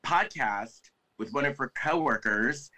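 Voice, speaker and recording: A man's voice: medium pitch, lively intonation, a little slow, bright, forceful tone. A man co-hosting a podcast in casual chat, rambling with many restarts and fillers. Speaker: podcast co-host.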